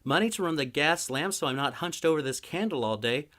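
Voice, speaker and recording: A man's voice: a little high, expressive, fairly quick, fairly bright tone, pitched above the performer's own voice. A man voicing an exasperated, scolding wife and mother with a grating edge in a fantasy story.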